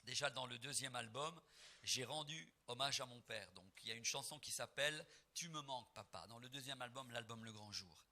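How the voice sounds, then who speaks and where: A middle-aged man from Portugal preaches between songs at a gospel concert. His voice is very bright and ringing, lifting almost into song on key lines and softening when he shares memories. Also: mid-range voice, a little fast. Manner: emphatic, rising to near-singing on key phrases; soft and reflective when telling family memories.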